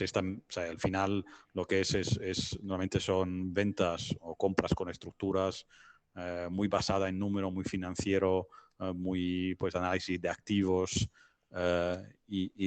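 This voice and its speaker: Hombre de voz grave, hablante no nativo. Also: male voice, sits low, monotone, dry recording. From Italy. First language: not Spanish. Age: middle-aged. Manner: halting, searching for words with repetitions. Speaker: interview guest, business advisor. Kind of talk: conversational explanation.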